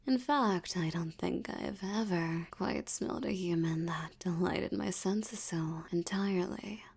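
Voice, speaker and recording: A woman voicing a dominant, aristocratic vampire elder in an intimate audio roleplay, teasing and menacing, with a breathy voice that often drops toward a whisper. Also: lowish voice, darkish tone, full character voice. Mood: seductive, threatening. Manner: slow, teasing, menacing, with lingering pauses.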